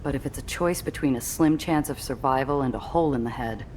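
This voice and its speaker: Noir game lead: extremely low female register, gritty and defiant, dramatic first-person narration. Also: a little fast, darkish tone, slight background noise. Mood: tense, wry. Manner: hardboiled, dramatic delivery.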